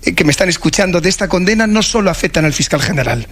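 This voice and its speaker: A man from Spain with a notably high voice is being interviewed live. He speaks formally and deliberately, with solemn emphasis, and sounds saddened and shaken. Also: animated, a bit bright. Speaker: prosecutors' association president, interviewee. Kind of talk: live radio phone interview.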